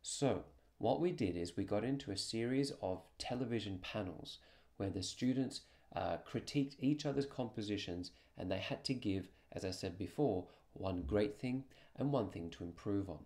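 Low-pitched man presenting a lesson. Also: normal speed, darkish tone. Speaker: music teacher presenting student project. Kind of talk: scripted presentation narration.

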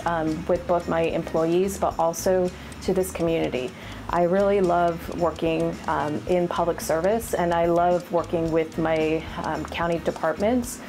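A woman in her forties from Rhode Island, a county government affairs manager answering quick interview questions in an earnest, plain-spoken way. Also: fairly low pitch, darkish tone. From Rhode Island, USA. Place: office, indoors. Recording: background music underneath.

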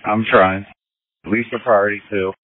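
A male fire rescue responder giving deliberate, emphatic reports over an emergency two-way radio. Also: fairly low pitch, fairly even intonation, no echo. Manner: deliberate, repeats key warnings. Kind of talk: emergency radio dispatch traffic. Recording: narrow tinny two-way radio transmission.

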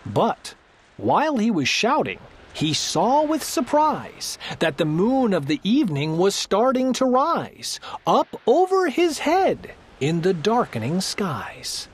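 A woman narrating a children's storybook aloud, reading the verse in an expressive, emphatic, theatrical way. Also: medium pitch, slow, slight background noise.

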